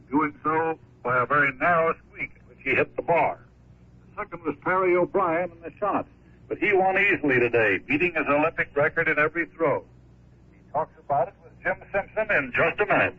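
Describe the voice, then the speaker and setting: A man's voice: a little high, brisk, breathy edge. An American man reporting as a sports correspondent in a scripted 1950s radio style. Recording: dull lo-fi 1950s radio relay.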